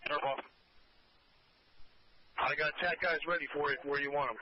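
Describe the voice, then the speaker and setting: A man's voice: fairly high pitch, steady tone, normal speed. A man giving clipped, commanding orders to units over a police radio as an officer on scene. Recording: noisy, muffled police scanner radio.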